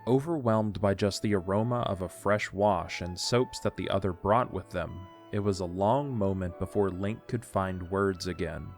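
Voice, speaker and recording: A man's voice: low-pitched, normal speed, hardly any pauses, soft background music. A man narrating a scripted story for an audio drama.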